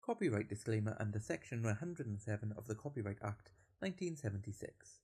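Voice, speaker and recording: A man's voice: lowish voice, expressive, fast. A man reading scripted credits as an announcer.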